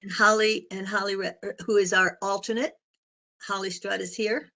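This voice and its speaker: Hoarse, raspy woman chairing a meeting. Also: mid-range voice, steady tone, bright, forceful tone. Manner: halting, with many fillers. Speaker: board chair.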